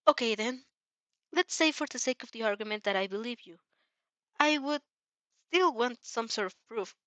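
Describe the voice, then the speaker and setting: A woman's voice: on the high side, expressive, normal speed, pushed and bright, no room sound, voice pushed up. A woman voicing a kind, worried mother who is hesitant but caring. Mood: flustered, shaken.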